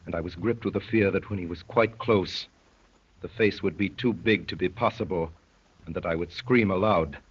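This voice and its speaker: An actor playing a tense undercover spy, narrating ominously in the style of 1930s radio drama. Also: male voice, deep voice, breathy edge. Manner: dramatic, literary, ominous storytelling. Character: tense undercover spy recounting a sinister gathering. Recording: muffled vintage 1930s radio recording.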